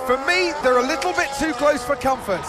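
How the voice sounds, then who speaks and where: An actor playing an excitable male motor racing commentator calling the action in a video game. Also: very high-pitched, a little fast. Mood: urgent. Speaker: race commentator. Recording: loud music and car engines behind.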